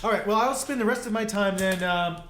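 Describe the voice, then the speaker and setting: A man's voice: high-pitched, a little fast, fairly bright tone. A man chatting casually as a player in a tabletop roleplaying game. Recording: roomy sound with faint background noise.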